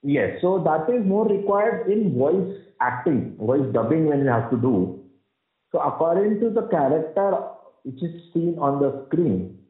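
A man with a husky, heavy voice, explaining at length as an interview guest, with many fillers and restarts. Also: a little high, very animated, unhurried. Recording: roomy, tinny phone-like sound.

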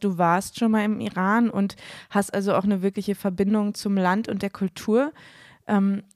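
A woman host talks in a chatty, eager way, a little nervous as she warms into her interview. Her voice sits at an ordinary middle pitch and the sound is dry and close. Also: dry recording. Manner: chatty, admits being nervous, effusive. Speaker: podcast host. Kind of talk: conversational podcast interview.

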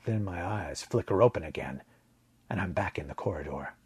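Male narrator for sci-fi audiobook: low husky voice, measured and dramatic, very dry recording. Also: lively intonation, dry recording. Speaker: fiction narrator. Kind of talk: first-person audiobook narration of a science fiction story.

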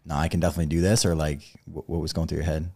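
A man's voice: extremely low pitch, level tone, very fast, darkish tone. A man co-hosting a podcast, asking curious questions in a laid-back way. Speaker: podcast co-host. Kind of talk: casual interview questions.

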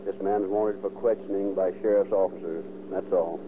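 A man playing a curt police radio dispatcher, reading a bulletin in a clipped, official, flat manner in 1930s radio style. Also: low-pitched, brisk, close to an everyday voice. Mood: stern, official. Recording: noisy old radio broadcast, phone-like band, hum.

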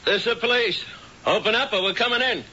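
An actor playing a stern middle-aged police officer who gives firm orders in a radio drama. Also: male voice, extremely high pitch, fast, lo-fi sound, noisy background. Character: two stern middle-aged men, a doctor and a cop.

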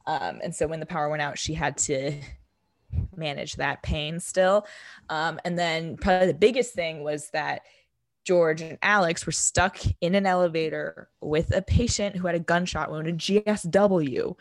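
A young woman with a booming voice, co-hosting a podcast and recapping a TV episode in casual, filler-heavy chat. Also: steady tone, unhurried, no room sound. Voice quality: booming voice that projects. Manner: chatty, full of fillers like "like" and "um". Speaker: podcast co-host.

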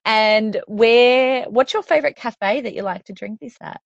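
A woman's voice: fairly high pitch, a little slow, vocal fry, no room sound, dull audio. An Australian woman hosting a podcast, bubbly and enthusiastic, reading her intro and then chatting with a guest. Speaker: podcast host. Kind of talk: scripted intro then casual chat.

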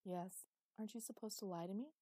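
A woman's voice: mid-range voice, a little fast, mellow, dry recording, clean studio sound, natural voice. A woman voicing an injured woman who speaks frankly and inquisitively in a storybook reading. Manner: matter-of-fact, inquisitive.

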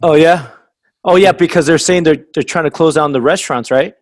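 A man's voice: a little high, a little fast, no echo, studio quality. A man in his thirties who runs a winery, casually interviewing a guest in a chatty, friendly way and laughing often.

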